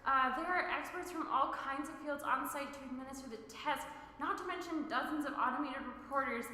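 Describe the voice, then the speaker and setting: A woman's voice: sits high, a little fast, sharp tone. A woman acting as a flustered, scatterbrained female field reporter in an amateur radio play, hesitant and rambling. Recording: very echoey bathroom, long reverb, faint noise.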